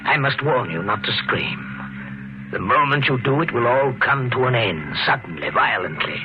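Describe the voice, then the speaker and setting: An actor playing a calm, menacing killer with measured, formal politeness in a 1950s radio thriller. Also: male voice, mid-range voice, natural voice. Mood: cold menace. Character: calm, menacing male killer posing as a family friend. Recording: muffled vintage radio broadcast with music.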